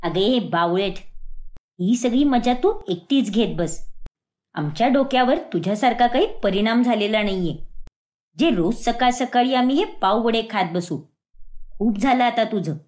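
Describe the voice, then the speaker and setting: A woman voicing a sharp-tongued, nagging older mother-in-law in an animated moral story. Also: on the high side, pitched below the performer's own voice. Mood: irritated scolding.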